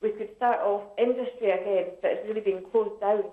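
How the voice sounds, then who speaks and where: A woman taking part in a radio debate and making her points in a measured, earnest way. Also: mid-range voice, fairly even intonation. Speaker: debate guest. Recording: tinny echoey line.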